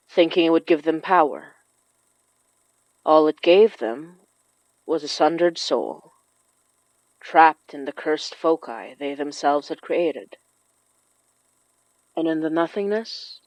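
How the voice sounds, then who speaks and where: A woman narrating a scripted horror story in a measured, deliberate way with dramatic pauses. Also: deep voice, darkish tone, no echo, low-quality recording. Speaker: narrator of horror fiction podcast.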